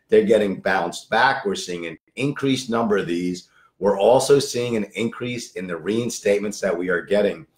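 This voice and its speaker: A man in his fifties with a hoarse voice, a lawyer giving a direct news briefing and repeating key points for emphasis. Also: fairly low pitch, roomy sound. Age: 50s. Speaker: lawyer for online sellers.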